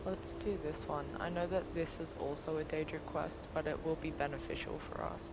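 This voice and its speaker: A woman's voice: deep voice, steady tone, brisk, very noisy. A woman giving casual gameplay commentary, thinking aloud in loose, sometimes sweary talk. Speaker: gaming streamer.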